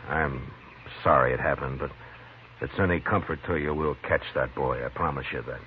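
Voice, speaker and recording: An actor playing a steady, grim American frontier marshal in a 1950s western radio drama, speaking in a terse, measured way. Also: male voice, rumbling low. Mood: gentle, sober. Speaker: lead character and narrator. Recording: muffled, noisy 1950s radio recording.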